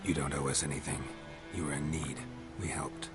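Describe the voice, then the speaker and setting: An actor voicing a gruff, stoic monster hunter in a video game, terse and deadpan with a gravelly tone. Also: male voice, moderate pace. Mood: dry. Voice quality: gravelly, low. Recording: game audio with music behind. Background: music.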